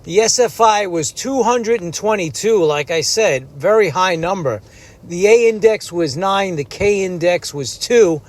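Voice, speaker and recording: A man's voice: high voice, a little slow. A man who makes amateur radio videos, speaking casually as he narrates and operates.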